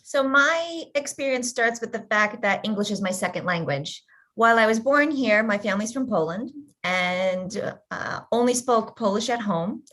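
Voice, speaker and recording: A woman in her forties, a patient advocate, telling her personal story in an earnest, measured way on a panel discussion. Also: on the high side, expressive, a bit bright.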